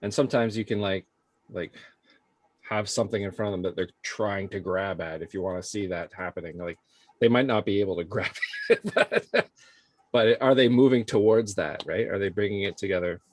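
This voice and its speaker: A man with a slightly deep voice explains things to parents in an informal, loosely phrased manner. He sounds like a casual facilitator, recorded dry without much room sound. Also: moderate pace, dry recording. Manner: informal, hedging with frequent "like". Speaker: early childhood program facilitator.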